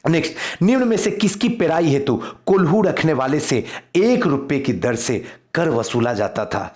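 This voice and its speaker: A man's voice: a little high, very animated, normal speed, a little mellow. A man teaching an exam quiz lesson, reading out questions and repeating key answers for emphasis. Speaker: history teacher for civil service exam coaching.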